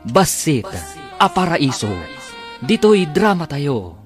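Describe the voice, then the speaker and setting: A man's voice: fairly high pitch, big pitch swings, slow, faint music behind. A man narrating a scripted recap as the announcer of a radio drama.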